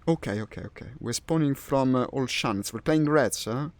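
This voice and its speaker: A man's voice: mid-range voice, a little fast, no room sound. A man from Italy with an Italian accent, a streamer chatting casually and thinking aloud while playing. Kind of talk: casual gaming chat.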